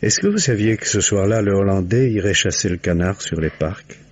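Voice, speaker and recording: A man's voice: on the low side, a little fast, a little hiss or hum, lightly acted. A man playing a shrewd, patient police commissioner in a French radio mystery, questioning in a probing, paternal, conversational way with muttered prompts. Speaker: actor in a radio mystery play. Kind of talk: 1950s French radio drama acting. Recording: muffled old radio recording.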